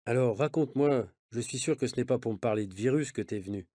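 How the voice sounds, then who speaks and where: A man reading a novel's dialogue aloud for language learners, speaking in a measured, clear way. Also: mid-range voice, mellow, no room sound, clean studio sound. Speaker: audio reader of a learner's novel.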